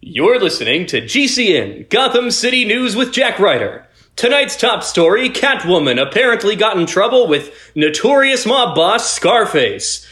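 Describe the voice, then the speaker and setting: Man putting on a high, swooping flustered news anchor. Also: sing-song, moderate pace, pushed and bright, heavily acted. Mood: announcing. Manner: announcer delivery breaking into hesitant muttering. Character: flustered male TV news anchor, easily thrown off. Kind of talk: parody newscast.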